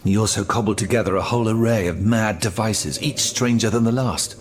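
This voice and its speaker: Male actor as haughty old-French academician, low voice, pompous, scornful, game cutscene, noticeable ambient noise behind. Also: brisk, natural voice. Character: haughty, dismissive learned academician of old France. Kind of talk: video game cutscene dramatic acting.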